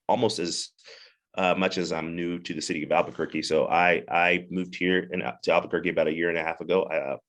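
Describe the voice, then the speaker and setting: A man from Zimbabwe with a very deep voice talks as a guest on a chat podcast. He is a pastor and sounds easygoing and upbeat. He drops in plenty of fillers. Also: a bit bright. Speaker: pastor and soccer chaplain.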